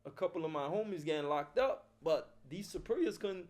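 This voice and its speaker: Man, middle-aged, laid-back, streetwise, fairly high-pitched. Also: lively intonation, brisk, a little mellow. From Haiti. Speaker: film producer, guest. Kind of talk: casual interview talk.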